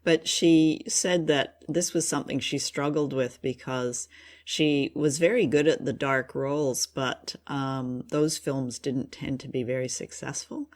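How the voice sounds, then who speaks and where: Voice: mid-range voice, steady tone, measured pace. A co-host of a radio drama review show, chatting casually in an appreciative, effusive way.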